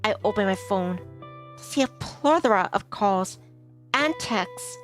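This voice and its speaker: A woman's voice: medium pitch, animated, unhurried. A woman reading a visual novel aloud expressively, as a streamer narrating a story. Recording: music behind the voice. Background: soft guitar music.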